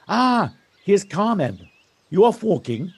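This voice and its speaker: A man playing a kindly, teasing village local in an audio drama, with a husky voice and an encouraging manner. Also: high-pitched, big pitch swings, takes it slowly, heavily acted. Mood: friendly, encouraging. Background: birdsong.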